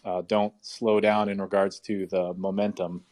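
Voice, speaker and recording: A man sharing his year in a casual online chat, talking in a relaxed, easygoing way with many fillers. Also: sits low, measured pace. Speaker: guest speaker.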